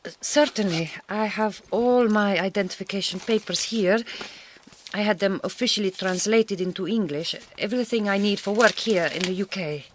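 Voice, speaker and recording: An actress playing a young Hungarian migrant farm worker in a radio drama, polite and self-assured, with careful, formal phrasing and a Hungarian accent. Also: female voice, mid-range voice, moderate pace, slight background noise, close to an everyday voice.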